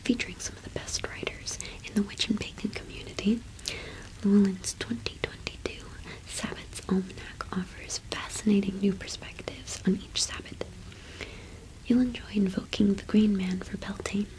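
A woman in her twenties whispers in a soft ASMR roleplay as a friendly cottage witch. Her pitch is average for a woman, and she takes her time, with small hesitant pauses. The delivery is intimate and close to the microphone. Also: low-quality recording. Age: 20s. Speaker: ASMR performer playing a cottage witch shopkeeper.

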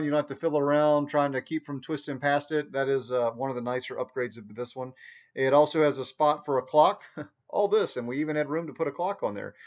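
A man in his forties giving a casual, folksy, unscripted product review to camera in a small room at home. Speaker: product reviewer, video host. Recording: dull, muffled low-fi sound.

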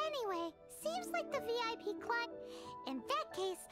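A woman voicing a tiny, excitable, childlike fairy companion in a video game, chirpy and squeaky. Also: moderate pace, over loud music. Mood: cheeky. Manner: chirpy, speaks of herself in third person. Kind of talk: video game character acting.

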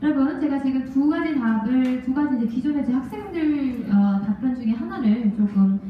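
Woman in her thirties, instructor, handheld mic, lively questioning, echoey classroom heard from afar. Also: high-pitched, normal speed, slight background noise. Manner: lively, asks the class questions, laughs often. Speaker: language test instructor. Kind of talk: classroom lecture. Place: lecture classroom. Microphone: handheld mic, far camera. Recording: echoey classroom from far off, dull.

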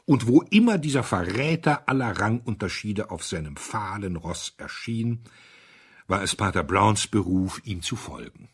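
A man narrating a literary story as an audiobook, in a measured, deliberate way with expressive emphasis. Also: fairly low pitch, slightly rough.

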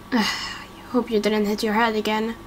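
A mild, weary dad, as a woman plays him, soft and gently scolding. The delivery is slow, an amateur game roleplay with some noise behind it. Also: medium pitch, monotone, pitched below the performer's own voice, lightly acted, poor audio. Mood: weary, caring. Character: mild, exasperated father fussing over his child.

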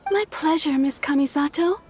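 Actress as a high-voiced young shopkeeper. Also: female voice, moderate pace, darkish tone, faint music behind, lightly acted. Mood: pleased, obliging. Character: polite, eager young kimono shopkeeper. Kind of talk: anime-style video game voice acting.

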